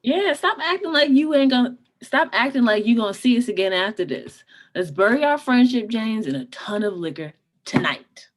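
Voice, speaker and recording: A woman's voice: on the high side, animated, moderate pace. A woman playing a laid-back, teasing friend who talks in casual slang, in a comedy table read. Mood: teasing, insistent.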